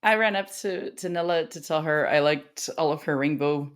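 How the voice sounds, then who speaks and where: A woman's voice: sits low, moderate pace. A woman in her forties, originally from Brazil, chatting as a podcast guest and laughing often. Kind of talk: casual interview chat.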